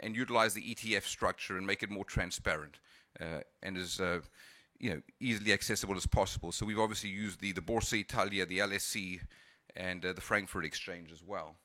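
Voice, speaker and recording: Man, South African-Californian accent, conference presentation, halting with fillers, dry close sound. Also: fairly low pitch, bright, forceful tone, dry recording. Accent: South African and Californian mix. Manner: hesitant, many fillers and restarts. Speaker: conference speaker.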